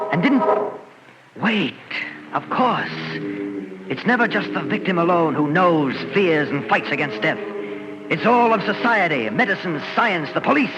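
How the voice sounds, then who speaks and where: An actor playing a deranged, grandiose sculptor who believes he is Death, in an old-time radio drama. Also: on the high side. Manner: theatrical, grandiose monologuing. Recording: dull, noisy vintage radio recording.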